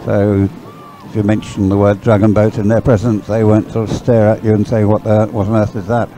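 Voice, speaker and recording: A man's voice: lowish voice, flat delivery, brisk, mellow, slight background noise, poor audio. A man giving chatty, unscripted live sports commentary, often hesitant and thinking aloud as he goes.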